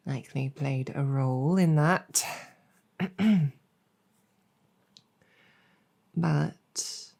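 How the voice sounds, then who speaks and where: A woman voicing a weary, earnest demon general in an intimate audio roleplay, speaking reflectively with hesitant pauses. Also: sits low, vocal fry, warm tone, heavily acted.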